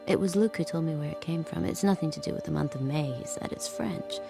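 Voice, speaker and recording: A low, creaky, subdued handmaid narrator. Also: female voice, quick pace. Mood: hushed, introspective. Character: subdued, watchful young woman kept as a handmaid. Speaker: lead actor and first-person narrator. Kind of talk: audio drama, intimate literary narration and dialogue. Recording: music under the voice.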